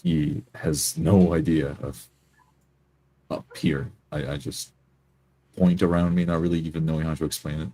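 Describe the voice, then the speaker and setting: Male, extremely low pitch, dark soft tone, halting delivery, improvised roleplay narration. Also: gravelly. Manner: halting, hesitant. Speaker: tabletop roleplay player.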